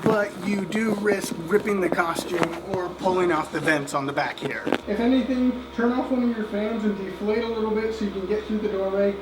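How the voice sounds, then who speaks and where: Higher-voiced man, casual how-to vlogger. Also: normal speed, hardly any pauses, noisy background. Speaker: costume vlogger.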